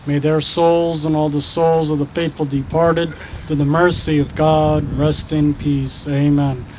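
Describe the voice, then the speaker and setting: Man, prayer leader, monotone, fairly high voice, solemn short phrases, noticeable outdoor traffic noise. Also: a little slow, rough recording.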